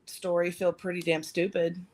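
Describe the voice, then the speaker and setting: Slightly raspy woman chatting casually. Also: lowish voice, flat delivery, normal speed, darkish tone. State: slightly raspy after anesthesia. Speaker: podcast co-host. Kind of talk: casual chat.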